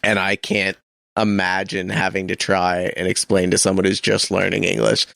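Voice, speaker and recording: A man's voice: low voice, little pitch movement, moderate pace, vocal fry, fairly bright tone, no echo. A man co-hosting a radio show in casual, humorous, wry and rambling banter.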